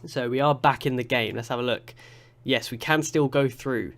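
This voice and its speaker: A young man with a congested, sniffly voice from a cold, chatting through a football management game with excitable play-by-play commentary. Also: mid-range voice, moderate pace. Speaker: gaming YouTuber.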